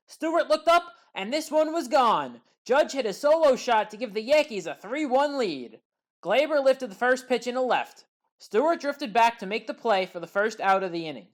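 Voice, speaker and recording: A woman giving a scripted play-by-play recap of baseball highlights in a measured, factual way. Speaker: highlights commentator.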